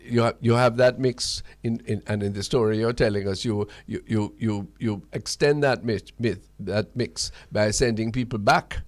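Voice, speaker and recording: A man's voice: on the low side, moderate pace, hardly any pauses, no echo. A Jamaican man hosting a radio talk in spirited debate, speaking in articulate, formal wording with frequent repeated words. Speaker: radio host.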